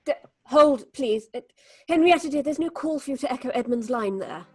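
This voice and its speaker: A woman's voice: high-pitched, lively intonation, a little fast, husky. A woman playing an exacting, condescending theatre director in an audio drama, grandly theatrical with her darlings and dears. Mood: brisk, commanding. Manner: condescending, theatrical, calls people darling and dear. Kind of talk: dramatic audio fiction acting.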